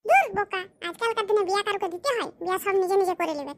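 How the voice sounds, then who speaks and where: A performer voicing a boastful, scheming village man in a cartoon, with a smug, slow, explaining manner. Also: very high voice, lively intonation. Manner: smug, bragging, explains his schemes slowly. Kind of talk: cartoon comedy voice acting.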